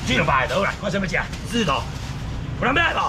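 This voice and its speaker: A man in his thirties with a Taiwanese accent works an outdoor market stall as an auctioneer, rattling off prices in quick, bouncing patter. His voice sits high and swings wide. A loud, busy street market rumbles all around him, picked up by a camera across the stall. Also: very animated, very noisy. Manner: loud rapid counting of prices, calling to customers. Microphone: fixed camera facing him across the stall.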